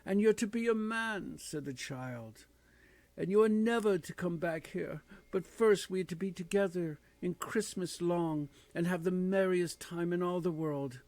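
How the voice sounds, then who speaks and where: A man's voice: slow, mellow, dry recording. A man putting on a gleeful little girl. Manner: high, bubbling with glee.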